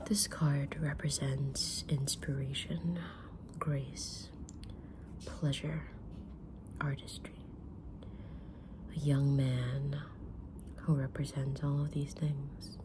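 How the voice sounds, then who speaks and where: A woman's voice: extremely low pitch, level tone, noisy background. Woman as a whispering, mysterious kind witch. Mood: gentle, mysterious. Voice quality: breathy whisper. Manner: gentle, deliberate, soft-spoken, drawn-out pauses. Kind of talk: whispered ASMR roleplay.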